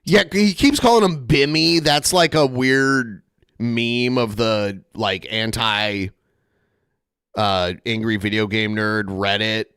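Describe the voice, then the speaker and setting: A man's voice: lowish voice, takes it slowly, a bit bright, clean tone, dry recording, studio quality. A man hosting a podcast, talking in a rambling, sarcastic way with lots of "like" fillers. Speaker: podcast host. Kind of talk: casual reaction commentary.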